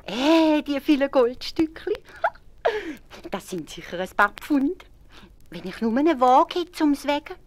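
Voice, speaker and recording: A woman's voice: sing-song, unhurried. Woman putting on a giddy Swiss peasant wife. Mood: greedy delight. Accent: Swiss German. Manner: high, chattering, giggly. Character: excitable female peasant wife, poor and curious.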